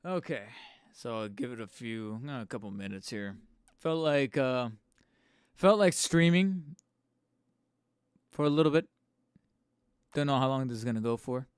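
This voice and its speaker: A man hosting a live stream from home on a studio microphone, a little hoarse and tired, rambling casually with fillers and restarts and poking fun at himself. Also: mid-range voice, animated, unhurried, long pauses, dry recording. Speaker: channel host streaming live. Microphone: studio microphone close up.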